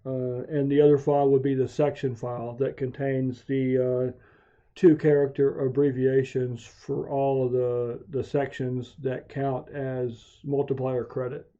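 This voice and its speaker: A man with a very dark, mellow voice walks through a software tutorial. He speaks slowly and methodically at a medium pitch. The recording is dull and lo-fi. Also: little pitch movement. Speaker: amateur radio hobbyist hosting video tutorials. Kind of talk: software walkthrough narration for a screen tutorial. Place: home radio shack. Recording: dull, muffled lo-fi voice track.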